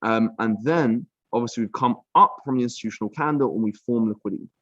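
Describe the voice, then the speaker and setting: A young man with a British accent talks through trading charts on a live stream in a loose, chatty way, tossing in "bro" and "um" as he goes. His voice sits at an everyday middle pitch. The sound is dry and a little muffled, like a home setup in a room. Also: quick pace, dry recording. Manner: relaxed and chatty, peppered with "um", "bro" and "like". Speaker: trading mentor. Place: villa room.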